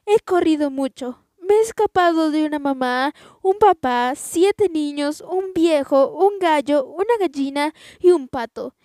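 A woman voicing a frightened, indignant runaway tortilla in a storybook reading, with a high, loud, exclaiming voice. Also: measured pace, hardly any pauses, dry recording, clean studio sound.

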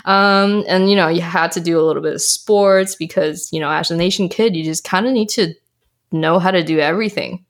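A woman's voice: fairly low pitch, brisk. A young woman from Canada, a guest on a podcast, chatting casually and laughing often. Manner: bubbly, laughs often, lots of "like" and "um". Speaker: podcast guest, health coach. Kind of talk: casual interview chat.

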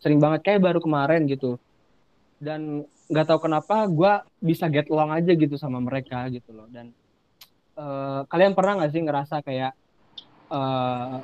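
A man's voice: a little high, a little mellow, clean tone. A young man with a Jakarta Indonesian accent, hosting a podcast in casual, slangy chat on slightly dull-sounding audio. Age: young adult. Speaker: podcast host.

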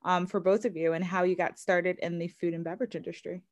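A woman's voice: fairly low pitch, steady tone, fast. Woman, podcast host, enthusiastic, frequent fillers, casual interview.

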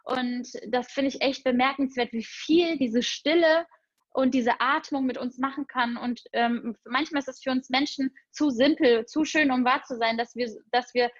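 High-voiced chatty woman, dry muffled sound. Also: normal speed, dry recording. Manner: enthusiastic, spiritual, chatty with fillers. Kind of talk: casual conversation.

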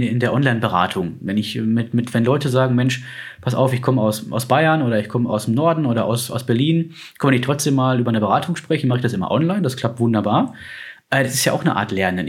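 A man talking casually and with enthusiasm about his work as a finance advisor, with plenty of fillers. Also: mid-range voice. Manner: chatty, enthusiastic, uses lots of fillers. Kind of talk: casual conversation.

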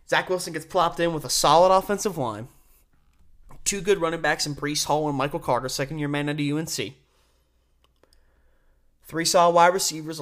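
A man hosting a solo sports podcast, giving conversational, opinionated commentary with emphatic stresses and deliberate pauses. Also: a little high, fairly bright tone.

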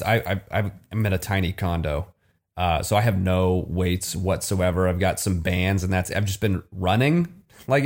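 A man co-hosting a casual chat, joking and excitable in quick banter. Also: on the low side. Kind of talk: casual video-call chat.

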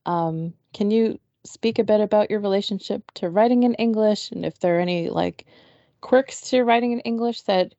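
Woman host, friendly and chatty, gravelly mellow voice, dull lo-fi recording. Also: mid-range voice, no room sound. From Atlantic Canada. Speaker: podcast host. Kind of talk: casual interview chat.

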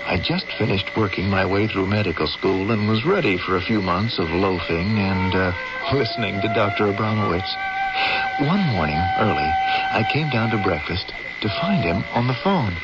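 An actor playing an earnest young doctor fresh out of medical school in a radio drama, polite, mild and tentative. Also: male voice, on the low side, animated, normal speed, over loud music, natural voice. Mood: reflective narrating. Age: young adult. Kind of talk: old-time radio drama acting. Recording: noisy, muffled old radio recording.

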